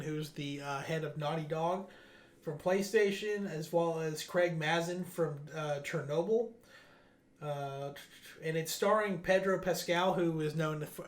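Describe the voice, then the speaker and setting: Higher-voiced man hosting casual news chat. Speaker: podcast host.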